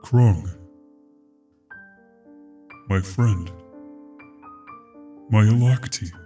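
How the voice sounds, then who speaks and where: Man voicing a low, grave alien warrior. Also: mellow, far from the mic, faint music behind. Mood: grieving. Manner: grave, terse, deliberate. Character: grieving, imposing four-armed male alien warrior, stern and loyal. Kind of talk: audio drama acting.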